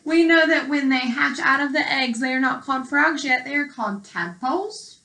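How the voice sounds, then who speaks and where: A woman's voice: high voice, normal speed, bright, forceful tone. A woman teacher reading aloud to young children and explaining as she goes in simple words, with questions to the listeners. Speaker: elementary school teacher.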